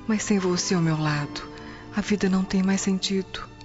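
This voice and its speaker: An actress playing a sorrowful, lonely noble Roman wife in a radio drama monologue, plaintive and tender. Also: female voice, mid-range voice, normal speed, natural voice. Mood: anguished, longing. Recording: dull lo-fi radio, clear music bed. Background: music.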